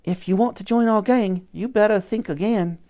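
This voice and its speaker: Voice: medium pitch, moderate pace, dark tone, voice pushed up, close to an everyday voice. A storyteller voicing a bossy, scornful girl in a street gang.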